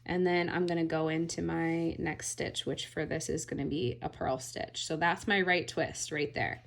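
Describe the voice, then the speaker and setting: A woman giving a relaxed, step-by-step knitting tutorial, often checking in with "all right?". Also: sits low, normal speed, vocal fry. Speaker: knitting instructor.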